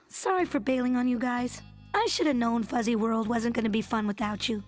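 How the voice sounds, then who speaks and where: A woman playing an excitable, scheming young woman in a cartoon, emphatic and pleading. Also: on the high side, moderate pace, faint music behind, lightly acted. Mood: regretful.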